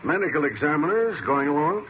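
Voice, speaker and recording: An actor playing an easygoing, somewhat hesitant American police sergeant in an old-time radio drama. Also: male voice, a little high, sing-song, brisk. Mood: casual, friendly. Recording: muffled, hissy vintage radio recording.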